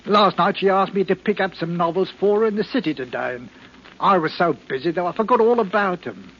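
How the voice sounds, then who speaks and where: An actor playing a meek, flustered husband with something to hide, hesitant and deferential, in 1940s radio style. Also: male voice, high voice, brisk. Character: meek, flustered English husband hiding guilt. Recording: muffled, noisy 1940s radio recording.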